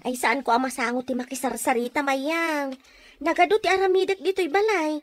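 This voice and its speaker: A woman's voice: sits high, a little fast, close to an everyday voice. A woman with an Ilocano accent playing a house-proud, industrious neighbor in a radio comedy, firm and matter-of-fact. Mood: brisk, impatient.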